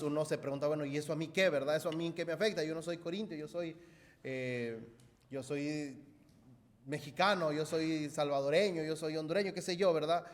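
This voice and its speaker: A man preaching to his congregation in a conversational, explanatory way, repeating key phrases and often checking in with short tag questions. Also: medium pitch. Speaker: pastor preaching to a church congregation. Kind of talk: conversational sermon with rhetorical questions. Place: church hall. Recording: echoey hall with long reverb.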